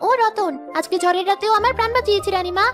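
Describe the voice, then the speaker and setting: Cartoon fairy-tale role: tiny helpful flower fairy, gentle, piping high voice, music behind. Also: female voice, fairly even intonation, fast talker. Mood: bright, inviting. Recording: music under the voice.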